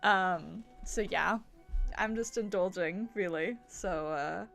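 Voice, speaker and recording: A woman's voice: fairly low pitch, takes it slowly, gravelly, a bit bright, faint music behind. A woman streaming games, chatting casually in a rambling, giggly way that circles back on itself. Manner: rambling, giggly, repeats herself. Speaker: video game streamer. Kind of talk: casual livestream chat.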